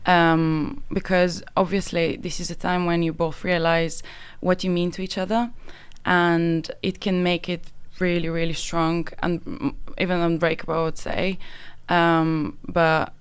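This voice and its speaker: A woman's voice: fairly low pitch, flat delivery, measured pace, hardly any pauses, clean tone. A young woman from Bulgaria with a Bulgarian accent, a guest on a student radio show, speaking thoughtfully with many ums and uhs. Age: young adult. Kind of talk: casual chat on student radio.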